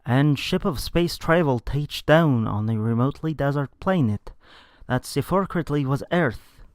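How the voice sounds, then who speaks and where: Guy reading a story out loud real dramatic, slow pace, super dry sound. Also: medium pitch, dry recording. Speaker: narrator reading a fan fiction story aloud.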